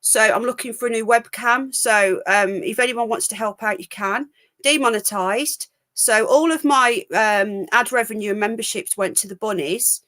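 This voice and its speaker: A woman's voice: fairly high pitch, normal speed, a bit bright. A woman hosting a live call-in stream from home, chatting frankly and rambling, a bit full of cold, on a laptop mic. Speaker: livestream call-in show host. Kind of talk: casual live stream chat.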